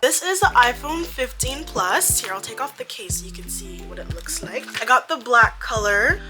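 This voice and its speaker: A woman's voice: fairly high pitch, sing-song, normal speed, music in the background. A young woman whose first language is French, talking casually through a phone tour with lots of likes and fillers. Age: young adult. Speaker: YouTuber.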